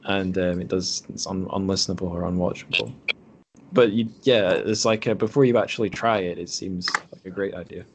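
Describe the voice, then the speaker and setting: Low-voiced male podcast host. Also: steady tone, fairly quick, slight background noise. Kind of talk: casual conversational hosting.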